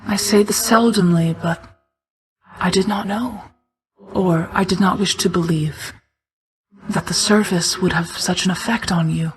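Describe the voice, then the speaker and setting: A woman voicing a solemn, regal night goddess and protective mother figure in a video game, speaking in a formal, measured, restrained way. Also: on the low side, fairly even intonation, natural voice, low-quality recording. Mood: solemn, caring. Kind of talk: scripted video game character dialogue.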